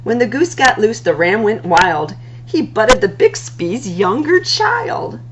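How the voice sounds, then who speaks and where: Woman reading aloud with very lively pitch. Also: highs cut off, slight background noise. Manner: expressive, singsong delivery with drawn-out words. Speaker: library storytime host. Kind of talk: animated read-aloud of rhyming picture books.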